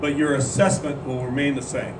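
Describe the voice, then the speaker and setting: A man leading a club meeting, speaking haltingly with frequent "uh"s. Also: mid-range voice, flat delivery. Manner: halting, lots of "uh" fillers. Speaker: club board member chairing meeting. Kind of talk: meeting announcement. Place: meeting room at a golf club. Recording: roomy, distant mic, background hum.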